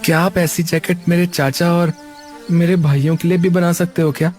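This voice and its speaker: A man's voice: on the high side, little pitch movement, moderate pace, a little mellow, soft background music, natural voice. A man voicing a humble, polite young laborer in a cartoon. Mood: pleading. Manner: polite, pleading. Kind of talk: cartoon voice acting.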